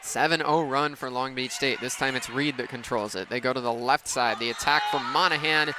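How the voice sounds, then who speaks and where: A young man calling live sports on radio in clipped phrases that build into excited calls. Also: medium pitch, a little fast. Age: young adult. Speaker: radio play-by-play sports announcer. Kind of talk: live radio play-by-play commentary. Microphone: headset. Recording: noisy gym with court sounds behind. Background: gym ambience, bouncing ball.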